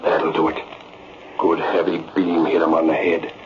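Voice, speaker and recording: An actor playing a scheming, hot-tempered frontier villain with a folksy rural Western American drawl in old-time radio style. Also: male voice, very deep voice, monotone, normal speed. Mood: scheming, brooding. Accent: rural American West. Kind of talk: 1940s radio acting. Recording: muffled vintage radio recording, crowd noise.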